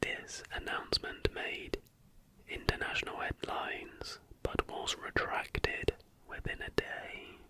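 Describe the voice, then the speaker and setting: An ASMR host whispering throughout in a slow, unhurried way while presenting to listeners. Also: bright, forceful tone. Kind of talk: ASMR, softly whispered reading of facts with casual asides.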